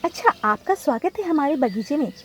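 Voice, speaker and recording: A woman's voice: high voice, lively intonation, a little fast, fairly bright tone, soft background music. A woman voicing a polite, loyal female butterfly in a cartoon story, with a courteous, deferential manner.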